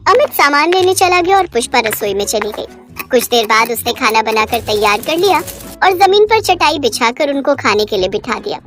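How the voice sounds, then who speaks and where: A woman's voice: fairly high pitch, very animated, moderate pace, a bit bright, faint music behind. A woman narrating a scripted children's moral story.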